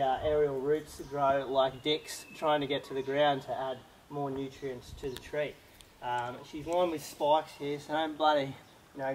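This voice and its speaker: A young man with an Australian accent talks through a bush walk in a loose, joking way, dropping in the odd swear word. His voice sits a little high for a man. It is picked up outdoors on a handheld action camera, with a light hiss of open air behind him. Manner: laid-back, jokey, sprinkles in mild swearing. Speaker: travel vlogger. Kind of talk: casual outdoor commentary. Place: outdoors in a hot rocky gorge.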